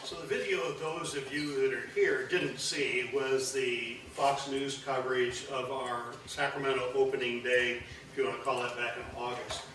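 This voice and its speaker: A man's voice: medium pitch, fairly even intonation, moderate pace, noisy background. Folksy older man speaking in an echoey hall. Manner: folksy, rambling, dry asides. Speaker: former state senator, event speaker. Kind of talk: informal speech at a press event. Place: large room with a backdrop, indoors. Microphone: no microphone, recorded by a distant camera. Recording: echoey room from a distance.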